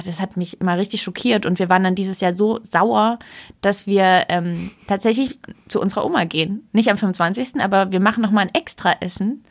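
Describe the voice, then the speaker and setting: A woman's voice: mid-range voice, creaky. Young woman chatting, emphatic and rambling. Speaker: podcast co-host. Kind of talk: casual podcast chat.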